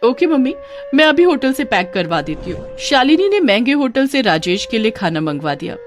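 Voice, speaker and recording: Female narrator for bedtime moral stories: scripted read, animated pitch, music bed. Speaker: story narrator.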